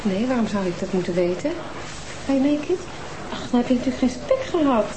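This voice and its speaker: Actress as defensive neighbour mum, raised pitch, noisy room. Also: female voice, expressive, quick pace, natural voice. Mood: protective. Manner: protective, slightly defensive. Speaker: neighbour, mother of the girl. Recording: TV sound with room echo and background noise.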